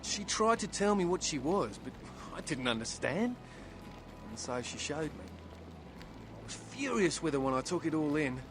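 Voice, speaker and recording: A man's voice: on the high side, big pitch swings, moderate pace, music in the background. A man playing a young surfer turned outcast vampire in a video game, wistful and bitter, with a folksy, plain-spoken manner. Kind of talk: video game dialogue acting.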